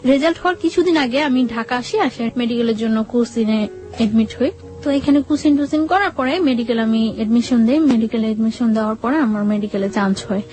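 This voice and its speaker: Young woman from bangladesh, highish voice, telling her story bit by bit, music behind. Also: brisk, a little mellow, low-quality recording. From Bangladesh, Barisal region. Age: young adult. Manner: repetitive, step-by-step recounting. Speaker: guest telling life story. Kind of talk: personal storytelling in an interview. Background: background music.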